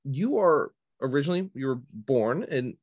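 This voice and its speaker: A man's voice: mid-range voice, big pitch swings, moderate pace, darkish tone. A man hosting a podcast in casual interview chat, with polite, joking banter. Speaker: podcast host.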